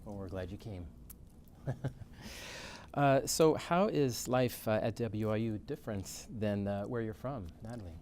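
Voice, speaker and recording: A man's voice: fairly low pitch, a little slow, darkish tone, slight background noise. A man hosting an interview as a music professor. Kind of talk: hosted interview.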